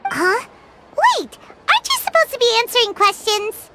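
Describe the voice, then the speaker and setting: A woman's voice: very high-pitched, big pitch swings, moderate pace, pushed and bright, slight background noise, full character voice. A woman voicing a tiny, well-meaning fairy companion in a video game, perky and informal. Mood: upbeat. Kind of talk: video game cutscene acting. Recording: music under the voice.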